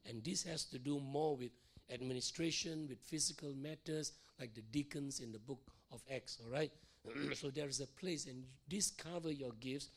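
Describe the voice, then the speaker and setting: A middle-aged male pastor preaching in a church, hoarse from losing his voice, repeating phrases and checking in with "all right?" and "okay?". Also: medium pitch, normal speed. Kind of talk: sermon teaching.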